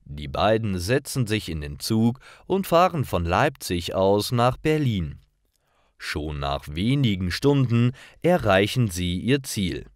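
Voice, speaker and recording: Male narrator for learners' stories: slow, careful, animated intonation, very dry recording. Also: fairly low pitch, no room sound. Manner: careful, clearly separated sentences. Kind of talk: scripted story narration for language learners.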